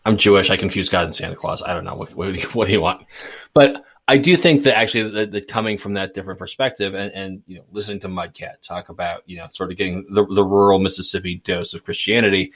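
Deep-voiced, thoughtful, hesitant man. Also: steady tone, fast talker, fairly bright tone. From suburban Boston. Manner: thoughtful, hesitant, restarts often. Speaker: podcast co-host. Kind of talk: casual discussion.

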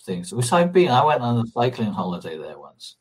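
A man's voice: medium pitch, big pitch swings, brisk, slightly rough. A man in an informal discussion, explaining history as a guest in a hesitant way, often rephrasing as he goes. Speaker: interview guest on magic and ancient religion.

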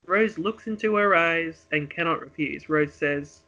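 A man's voice: fairly high pitch, unhurried, pushed and bright, highs cut off. A man reading a script aloud as narrator of a homemade radio play, with dramatic storytelling delivery. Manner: dramatic present-tense storytelling.

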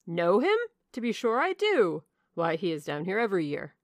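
Woman acting a jovial country squire, storybook character, bluff, loud, emphatic, lively pitch, dry sound. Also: normal speed, dry recording. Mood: hearty, pleased. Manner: bluff, emphatic, good-humoured. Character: hearty, blunt, jovial older country gentleman.

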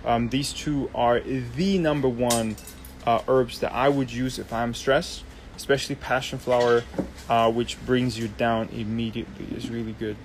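A man's voice: medium pitch, slight background noise, poor audio. A young man from Germany, hosting a casual live Q&A and explaining things loosely with many ums and restarts. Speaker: host. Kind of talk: casual live Q&A, answering viewer questions.

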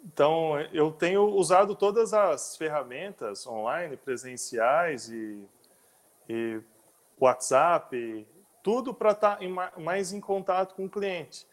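Halting, slightly high-voiced male host. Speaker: interview host. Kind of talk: casual interview chat.

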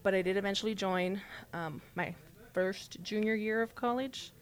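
A woman's voice: mid-range voice, moderate pace. A woman from South Texas whose first language is Spanish, a sports reporter chatting casually as a podcast guest, telling stories with lots of "like" and easy laughter. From South Texas, USA. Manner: chatty, storytelling, frequent "like" and laughs. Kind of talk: casual interview chat.

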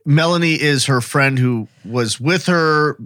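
A man's voice: medium pitch, a little slow, a bit bright, no room sound, clean studio sound. A man co-hosting a podcast in casual conversation, musing aloud and asking questions. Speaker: podcast co-host.

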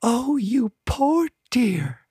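A man's voice: very high voice, very animated, drawn-out words, warm tone, husky, no echo, studio quality, pitched above the performer's own voice, heavily acted. A man voicing a tiny, doting elderly woman who trills and fusses in a weepy way.

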